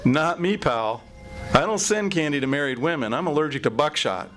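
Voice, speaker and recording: A man's voice: fairly high pitch, expressive, normal speed, over loud music, lightly acted. A man playing a breezy, wisecracking salesman pal with a glib, chummy manner in 1940s-style radio comedy on stage. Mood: breezy, joking. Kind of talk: 1940s radio announcer acting. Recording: noisy live stage recording.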